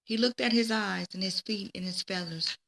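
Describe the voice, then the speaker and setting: A woman reading a children's story aloud in a deliberate way, now and then stumbling and repeating words. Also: mid-range voice, creaky, fairly bright tone, low-quality recording. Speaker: teacher reading a book aloud. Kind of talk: children's read-aloud narration.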